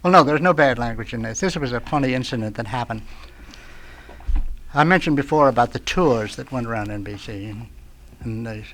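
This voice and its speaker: An older man, a radio veteran, tells anecdotes as a talk show guest in a halting, rambling way, groping for words. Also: medium pitch. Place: radio studio.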